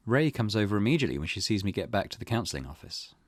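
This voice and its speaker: A man's voice: lowish voice, big pitch swings, very fast, dry recording, clean studio sound. A man hosting a let's play, musing casually and reading game text aloud in a conversational way. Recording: faint background noise.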